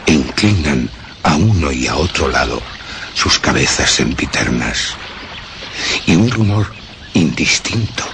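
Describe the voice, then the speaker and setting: An actor playing a haunted narrator of a dark gothic fable, grave and brooding in radio horror style. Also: male voice, extremely low pitch, background noise, full character voice.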